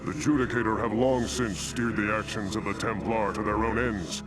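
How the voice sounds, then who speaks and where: An actor voicing a noble, resolute alien warrior commander in a video game, speaking formally and solemnly. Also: male voice, on the low side, fairly even intonation, moderate pace, over loud music, lightly acted. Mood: grave, resolute. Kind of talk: video game cutscene acting.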